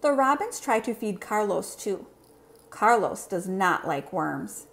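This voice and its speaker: A woman reading a children's picture book aloud in an expressive, simple storytelling manner. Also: medium pitch, slow. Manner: clear, simple, expressive delivery for young children.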